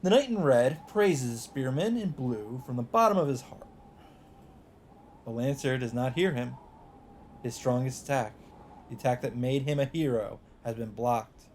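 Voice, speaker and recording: A man narrating a visual novel as a let's play host, reading the text aloud in a deliberate, emphatic, dramatic style. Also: mid-range voice, lively intonation, a little mellow, a little hiss or hum.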